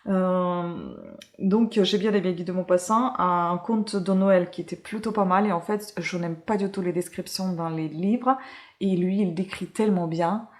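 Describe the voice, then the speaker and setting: Woman from ukraine, lowish voice, lots of euh and restarts, chatty book review. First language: Russian. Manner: hesitant, many fillers and self-corrections. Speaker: book reviewer, channel host. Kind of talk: casual book review chat.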